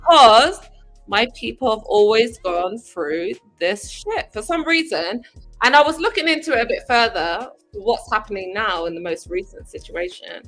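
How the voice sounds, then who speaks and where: A woman from Sudan speaking as a guest on a casual livestream panel, animated and quick to talk over others. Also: medium pitch, poor audio.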